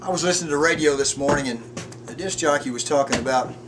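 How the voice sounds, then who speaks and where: A man's voice: on the high side, over music. A man in his fifties talking earnestly and reflectively in a casual porch conversation, often restarting his sentences. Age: 50s. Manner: earnest, halting, with frequent restarts. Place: outdoors on a front porch. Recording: distant mic with background noise.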